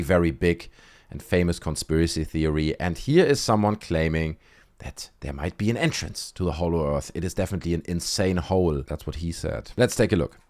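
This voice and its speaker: A man's voice: low voice, darkish tone. A man hosting an online video, giving casual, conversational commentary on clips with frequent tag questions. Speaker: YouTube host.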